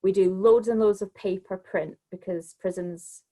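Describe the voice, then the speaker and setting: A woman's voice: medium pitch, fairly even intonation, moderate pace, mellow. A woman speaking as an arts director in a spontaneous panel talk, thinking aloud in looping sentences.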